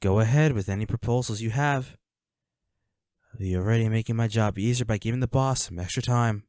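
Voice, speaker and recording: A man's voice: on the low side, fairly quick, darkish tone, no room sound, studio quality, lightly acted. A man playing a flirty, smug villain henchman in a roleplay audio drama, taunting a captive in a teasing, coolly controlling manner. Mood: smug, bargaining.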